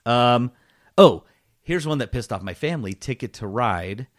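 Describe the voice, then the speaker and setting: A man's voice: mid-range voice, animated, normal speed. A man co-hosting a gaming podcast in casual, joking chat, giving slangy mock instructions. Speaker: podcast co-host.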